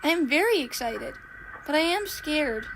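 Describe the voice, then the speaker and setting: A woman voicing a sweet, eager and slightly timid female steam engine, polite and gentle, in a train story. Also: high-pitched, expressive, normal speed, slight background noise, poor audio. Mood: nervous.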